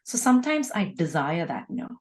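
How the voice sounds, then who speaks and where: Female interview guest, slightly low voice. Earnest, emphatic, reflective personal testimony delivered conversationally. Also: big pitch swings, moderate pace, a little mellow. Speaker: guest, organisational leader.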